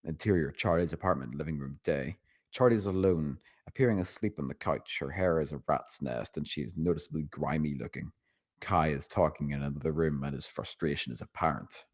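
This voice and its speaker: A man's voice: very deep voice, fairly quick, no room sound, studio quality. A man reading stage directions as scripted narration at a screenplay table read.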